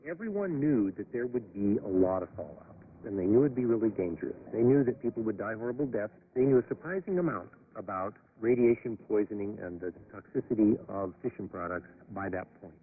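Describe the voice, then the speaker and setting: A man's voice: on the low side, expressive, a little fast. A man giving explanatory interview remarks, relayed over a shortwave radio broadcast. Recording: tinny, noisy shortwave radio clip.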